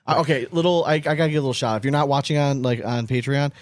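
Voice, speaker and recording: A man's voice: medium pitch, very fast. An American man co-hosting a casual sports podcast, chatting informally with loose, profane banter. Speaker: podcast co-host.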